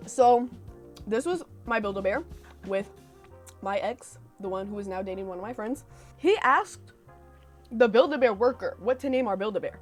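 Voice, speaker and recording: A young woman from California tells stories in a high, bouncy voice that swoops up and down. She is chatty and animated, dropping sarcastic asides as she reacts to old posts. Soft music plays faintly behind her. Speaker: vlogger. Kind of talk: casual reaction vlog storytelling.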